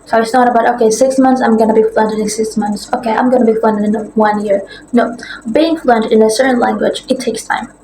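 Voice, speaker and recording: A woman with an Indonesian accent, a native Indonesian speaker, giving earnest, encouraging advice in a casual vlog and pausing to search for words. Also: on the high side, fairly even intonation, dark tone. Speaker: language vlogger. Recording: roomy echo with faint background noise.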